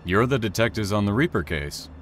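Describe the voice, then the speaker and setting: Casting: male actor for a serious, businesslike train engineer, slightly low voice, audio drama, faint music behind. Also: animated, fairly quick, close to an everyday voice. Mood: guarded. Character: sober, rule-minded train engineer, adult man. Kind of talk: naturalistic audio drama acting.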